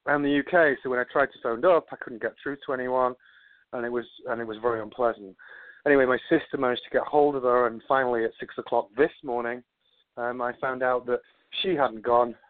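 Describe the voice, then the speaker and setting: A man's voice: mid-range voice, steady tone, fairly quick, no echo. A man with a Manchester accent, a guest who rambles and repeats words as he talks. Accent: Manchester English. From England. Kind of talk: casual phone interview. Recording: dry tinny phone line.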